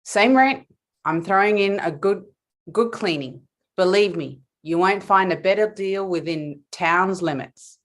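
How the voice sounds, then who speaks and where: A woman's voice: medium pitch, a little slow, lightly acted. A woman playing an eager, persuasive male landlord in a western table read. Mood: salesy, coaxing. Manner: persuasive sales patter. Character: eager, salesy male landlord showing cottages.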